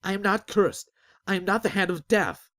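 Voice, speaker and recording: A man voicing a frantic young male street thief who shouts in desperation, in an audiobook. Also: high-pitched, moderate pace, pitched above the performer's own voice. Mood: indignant.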